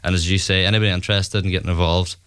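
Male guest, low smooth voice, upbeat and promotional. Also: steady tone, very fast, fairly bright tone. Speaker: sports partnership guest. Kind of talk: radio interview.

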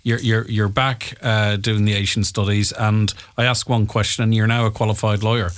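A man's voice: lowish voice, little pitch movement, moderate pace. A man interviewing a guest, asking questions with hesitant starts and repeated words.

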